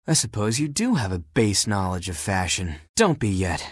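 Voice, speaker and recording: A haughty, theatrical would-be fashion designer from a video game, a living pile of laundry with a great sense of drama. The voice is husky and close and dry, swooping freely up and down as it teases and looks down its nose. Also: male voice, lowish voice, very animated, normal speed, no room sound. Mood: testing, smug. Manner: haughty, flamboyant, dramatic, teasing. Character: sassy, theatrical talking dirty laundry, aspiring fashion designer. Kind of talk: animated video game character acting.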